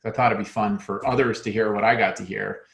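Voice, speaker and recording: Guy with a gravelly voice, low-ish, laid back, asking interview questions. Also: fast talker. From United States. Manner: relaxed, easygoing questioner with fillers. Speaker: interview host, venture capitalist. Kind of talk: unscripted interview conversation.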